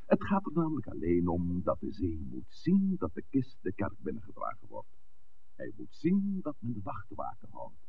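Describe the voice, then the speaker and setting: An erudite, wry village priest, with a very low voice that moves expressively up and down. He lectures in measured, articulate phrases, on a muffled old radio drama recording. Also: vocal fry, a little hiss or hum, full character voice. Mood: grave, reassuring. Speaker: actor in a radio play. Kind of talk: 1960s Dutch radio drama acting.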